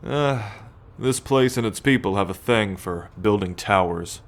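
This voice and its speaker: A low-pitched, haughty alien military captor, acted out by a man for an ASMR roleplay. He talks in measured, imperious phrases, musing and sighing, with a teasing possessiveness and cool menace toward his captive. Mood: proud, musing. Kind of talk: ASMR roleplay acting, addressed to the listener.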